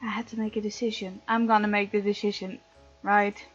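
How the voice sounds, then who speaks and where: A woman's voice: mid-range voice, flat delivery, brisk. A woman hosting a let's play of a visual novel, reading the game text aloud and commenting on it. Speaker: gaming host. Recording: dull lo-fi mic, game music underneath.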